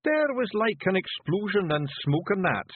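A man voicing a small, fierce male fairy chieftain with a broad Scottish accent and a brash, shouty manner. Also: high voice, big pitch swings, moderate pace, husky, dry recording, voice pushed up, exaggerated voice. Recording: dry tinny phone-like sound.

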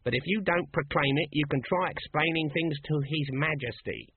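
A man's voice: fairly high pitch, normal speed, slightly rough, no room sound, pitched above the performer's own voice. Man doing a pompous, surly palace guard. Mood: curt, threatening. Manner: pompous, colloquial. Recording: thin, band-limited, dry phone-like sound.

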